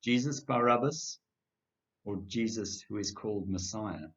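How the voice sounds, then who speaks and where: Older man, low voice, measured and deliberate scripture reader, slightly dull home recording. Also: fairly bright tone. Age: older, 60s or above. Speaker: church congregation member reading scripture. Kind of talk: scripture reading, narration of a Gospel. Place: indoor home kitchen. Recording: dull lo-fi home webcam sound.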